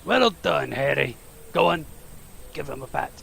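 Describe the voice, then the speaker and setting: A big rustic gamekeeper, heavily gravelled and unhurried. He is folksy, protective and eager in this audio drama, with a bright, forceful edge to his voice. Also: fairly high pitch, animated, a little hiss or hum, full character voice. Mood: pleased, encouraging. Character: big hearty gruff gamekeeper teaching class, eager, protective.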